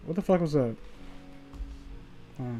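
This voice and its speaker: High-voiced, tipsy male livestreamer, rambling. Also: unhurried, mellow, faint music behind. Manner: rambling, profane, opinionated. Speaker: livestreamer reading online horror stories. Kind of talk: casual rambling livestream chat and story reading.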